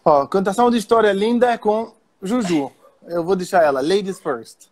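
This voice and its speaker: A man's voice: high voice, moderate pace. Playful Egyptian man. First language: Arabic. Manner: playful, joking. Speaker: interview guest.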